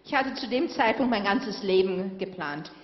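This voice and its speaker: A woman's voice: mid-range voice, lively intonation. Woman interpreter in an echoey hall. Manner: quick renderings with hesitation sounds. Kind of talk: live consecutive interpreting. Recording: echoey hall, dull sound.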